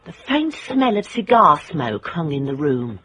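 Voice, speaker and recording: An actress playing a tough, determined private investigator in a radio drama, dry and wry. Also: female voice, deep voice, very animated, measured pace, a bit bright, husky, exaggerated voice. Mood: wry, observant. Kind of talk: radio drama acting with first-person narration.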